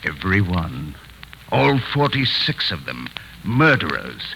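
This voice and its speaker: An actor playing a sinister, theatrical wax museum owner and fanatical connoisseur of crime, pompous and insinuating, in a 1940s radio thriller. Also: male voice, deep voice, big pitch swings, a little slow, vocal fry, bright, forceful tone, heavily acted. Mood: proud, sinister. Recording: noisy 1940s radio recording.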